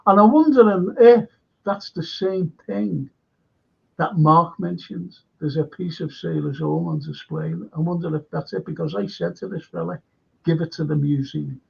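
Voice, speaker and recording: A man's voice: on the high side, big pitch swings, moderate pace, gravelly. An older man with a Liverpool accent, hosting a radio chat show and telling rambling local history stories. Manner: rambling storyteller, acts out quoted voices. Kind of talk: casual radio chat, reading listener messages. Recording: dull lo-fi sound.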